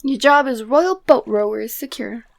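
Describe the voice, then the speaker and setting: A woman playing a tender, excitable young princess in love in a romantic ASMR roleplay, talking haltingly and often slipping into a whisper. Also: fairly high pitch, creaky. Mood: relieved, playful.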